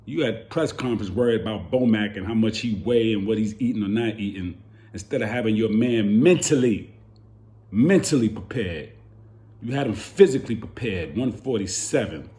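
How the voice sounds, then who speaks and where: Hoarse man ranting about boxing. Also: on the low side, moderate pace, warm tone. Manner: heated, repeating rhetorical questions. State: hoarse from yelling. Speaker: boxing channel host. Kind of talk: animated boxing commentary rant.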